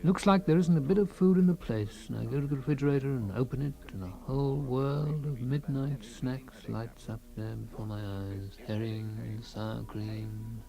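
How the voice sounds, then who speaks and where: A man's voice: medium pitch, normal speed, gravelly, few pauses, natural voice, low-quality recording. An actor playing a fussy, self-absorbed husband in a radio comedy-drama, rambling and savouring small things, stoic about his creeping paralysis. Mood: wistful relish.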